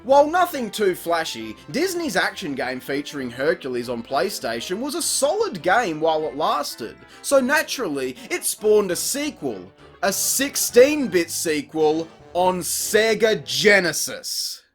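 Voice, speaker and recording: A man's voice: high-pitched, sing-song, measured pace, hardly any pauses, faint music behind. A man giving comedic, partly scripted video review commentary in an exclamatory, sarcastic, crude way. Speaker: video game reviewer, host.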